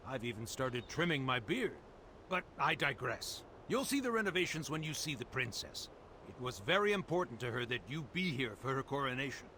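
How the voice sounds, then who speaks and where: An actor playing a proud, genial royal advisor in a video game, formal and slightly pompous. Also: male voice, on the high side, pushed and bright, slight background noise. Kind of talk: video game cutscene acting.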